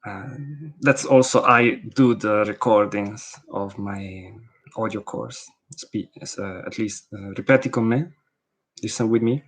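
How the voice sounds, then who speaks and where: Slow-talking Italian man. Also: fairly low pitch. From Italy. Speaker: Italian language teacher. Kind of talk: casual Q&A, answering viewer questions.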